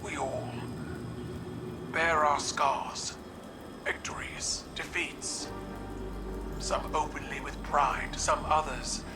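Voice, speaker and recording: An actor playing a proud, stoic alien warrior ruler in a video game, speaking in a formal, deliberate, commanding way. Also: male voice, a little high, fairly even intonation, music in the background. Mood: grave respect. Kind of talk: dramatic video game voice acting.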